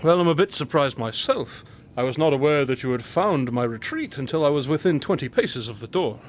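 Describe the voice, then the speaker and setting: An actor playing a shrewd, composed detective in an audio drama, with formal, precise, theatrical diction. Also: male voice, fairly high pitch, expressive, a little fast, a little hiss or hum, close to an everyday voice. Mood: composed, explaining. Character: shrewd, composed male detective, commanding and quick-witted.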